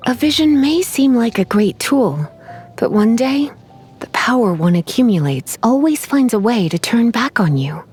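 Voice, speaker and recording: Sultry, teasing sorceress, lilting voice. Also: female voice, fairly high pitch, expressive, a little mellow, slightly rough, faint music behind. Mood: teasing, musing. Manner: sultry, teasing, unhurried, coy. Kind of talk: video game character voice lines.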